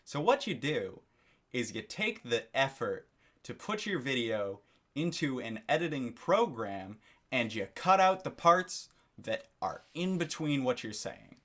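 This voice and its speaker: A man's voice: medium pitch, big pitch swings, measured pace, fairly bright tone. A man giving a critical commentary monologue for his video channel, lecturing and disapproving, often repeating his phrases. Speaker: YouTube commentator.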